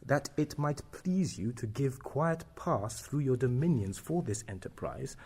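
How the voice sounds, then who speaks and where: A dutiful ambassador at a royal court acted by a man in a Shakespearean play. The delivery is formal and declamatory, in a husky mid-pitched voice with lively rises and falls. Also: unhurried. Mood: proud, formal. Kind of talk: Shakespearean stage-style acting.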